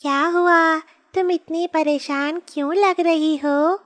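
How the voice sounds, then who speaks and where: A woman voicing a gentle, grateful magical hen in a children's cartoon story, speaking earnestly. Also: extremely high pitch, steady tone, slow pace, heavily acted. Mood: concerned.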